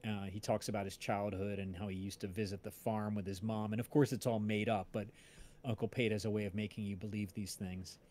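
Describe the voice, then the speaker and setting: A man from New Jersey with an American accent, chatting casually and haltingly as a host, rambling as he searches for words. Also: on the low side, little pitch movement, a little mellow. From New Jersey, United States. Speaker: podcast livestream host and casting director. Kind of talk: casual chat.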